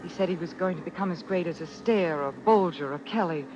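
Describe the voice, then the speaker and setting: A woman acting a grieving, lovesick, anxious widow in old-time radio drama, with halting, melodramatic delivery. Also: fairly low pitch, lo-fi sound, background noise, close to an everyday voice. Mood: subdued, evasive. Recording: old radio recording with music. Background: dramatic music.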